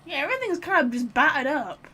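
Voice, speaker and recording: A woman's voice: sits high, big pitch swings, quick pace, sharp tone, slight background noise. A woman game streamer giving casual, playful commentary, chatting and thinking aloud as she plays. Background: video game sounds.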